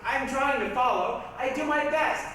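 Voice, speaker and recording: A performer in a readers' theatre playing an earnest, defensive young monster, loud and protesting. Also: high voice, moderate pace. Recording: echoey hall from far off.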